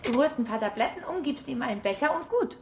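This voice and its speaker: A cold-hearted, scheming woman in her thirties in a radio drama. Her voice sits fairly high, and she talks bluntly and offhandedly. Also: animated, fast, very noisy. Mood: cool. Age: 30s. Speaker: librarian.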